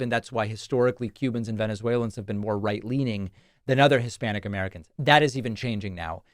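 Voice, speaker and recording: Pria komentator politik yang tegas dan sinis, dari Argentina, berbahasa ibu Spanyol, suara kering studio. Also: male voice, mid-range voice, no echo. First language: Spanish. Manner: emphatic, scornful, reads out posts in a mocking tone. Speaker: political commentary host. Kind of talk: political commentary monologue. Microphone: studio broadcast mic.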